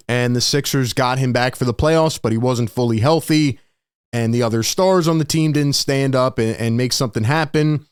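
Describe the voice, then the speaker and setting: Excitable male sports reaction host. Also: medium pitch, smooth, no echo, clean studio sound. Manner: excitable, animated exclamations. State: slightly hoarse from yelling. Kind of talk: live reaction commentary.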